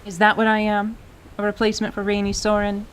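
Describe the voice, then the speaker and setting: A woman playing a cheeky, stubborn young tiefling girl in radio theater, with a playful, sassy deadpan manner. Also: medium pitch, a little fast, slight background noise, natural voice. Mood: playful, proud. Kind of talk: dramatized radio theater acting.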